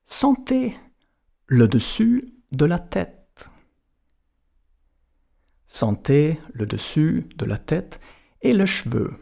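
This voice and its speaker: A man guiding a relaxation as a yoga and meditation teacher, giving measured instructions in short, separated phrases. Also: fairly high pitch, wildly swooping pitch, gravelly, mellow. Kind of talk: guided relaxation narration.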